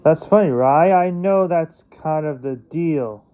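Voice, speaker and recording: A man narrating a toy unboxing casually in short, plain, halting phrases. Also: fairly high pitch, warm tone, clear, resonant tone. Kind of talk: casual unboxing narration, reading packaging aloud.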